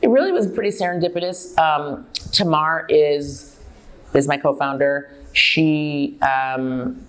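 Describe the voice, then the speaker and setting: A middle-aged woman with a low voice speaks in an interview. She is self-assured and emphatic, telling personal stories candidly as a founder would. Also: slow. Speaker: company founder and chief executive, dietitian. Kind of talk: on-stage interview, personal storytelling.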